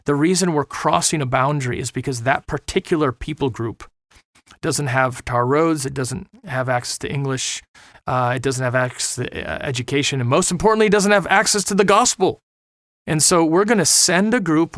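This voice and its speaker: A man's voice: fairly high pitch, a bit bright, dry recording, clean studio sound. A middle-aged missionary man in an earnest podcast conversation, talking with preacher-like passion and repeating words as he drives points home. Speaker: missionary guest.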